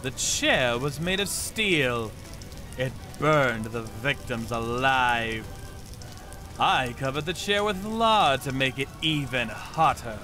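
A man's voice: medium pitch, expressive, takes it slowly, pushed and bright, soft background music. A man acting a cold, vengeful torturer who tells his story in a theatrical, menacing manga dub style. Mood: grim narrating. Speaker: narrator and lead voice actor. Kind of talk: dramatic manga dub narration.

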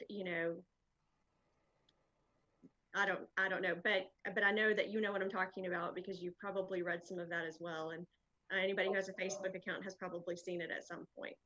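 A woman's voice: medium pitch, a bit bright, lo-fi sound. A woman interviewing two doctors in a friendly, chatty way, laughing easily between questions. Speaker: chamber of commerce interviewer. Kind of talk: casual video interview.

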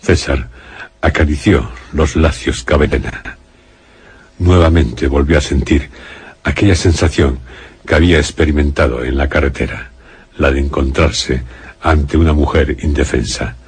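An actor narrating a gothic radio suspense drama in a solemn, literary manner. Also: male voice, a bass voice, fairly even intonation, unhurried, breathy edge, full character voice. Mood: solemn, suspenseful. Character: grave male narrator of a gothic suspense tale.

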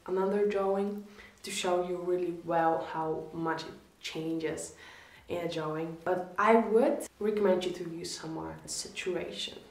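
A woman's voice: mid-range voice, darkish tone, roomy sound. A woman in her twenties with a Polish accent, talking casually to camera as she gives friendly, encouraging art critiques, leaning hard on the word "really.". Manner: chatty, encouraging, repeats "really". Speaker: art YouTuber reviewing viewer drawings. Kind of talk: casual instructional talk to camera. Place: small indoor room against a plain wall.

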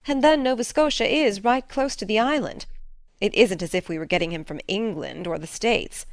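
A woman's voice: mid-range voice, normal speed, dry recording, clean studio sound, close to an everyday voice. A woman playing a stern, practical middle-aged farm woman in a dramatic audiobook, talking in a plain, folksy, matter-of-fact way. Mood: calm, resigned. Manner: plain-spoken, matter-of-fact, folksy old-fashioned phrasing.

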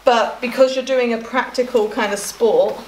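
A young woman with a fairly high voice talks brightly and chattily as she tries on outfits. She is recorded on a camera across a bare hallway, so the sound bounces around the room, with a faint hiss underneath. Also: steady tone, normal speed, echoey room, poor audio. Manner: chatty and enthusiastic, trailing off mid-thought. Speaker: fashion vlogger. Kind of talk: casual try-on haul chat. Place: hallway at home, indoors.

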